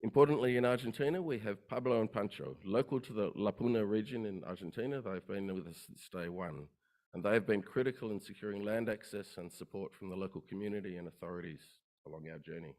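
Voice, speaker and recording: Man, lower voice, brisk rehearsed investor presentation, crisp lists of figures. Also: darkish tone, poor audio. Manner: brisk, rehearsed, listing facts. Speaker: company presenter. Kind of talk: investor conference pitch. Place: conference room.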